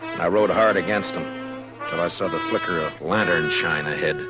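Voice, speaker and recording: Casting: male actor for steady frontier marshal, deep and understated, narrating a 1950s radio western over music. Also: normal speed, natural voice. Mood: grim narration. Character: steady, grave frontier marshal who narrates his own tale.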